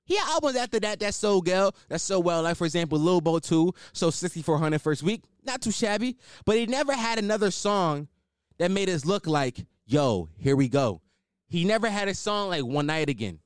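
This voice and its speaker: Young guy podcasting solo, high voice, blunt, swears a lot, bouncy up and down delivery. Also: very animated, normal speed, smooth, no echo, clean studio sound. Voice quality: higher-pitched voice. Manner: opinionated, blunt, swears, restarts and riffs. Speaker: podcast host.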